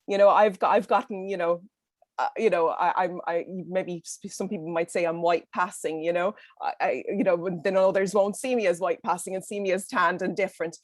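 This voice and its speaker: A woman's voice: on the low side, fairly even intonation, brisk, no room sound, studio quality. Dublin woman talking earnestly on a panel. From Ireland. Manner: earnest, with many fillers and restarts. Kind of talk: online panel talk. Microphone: video call.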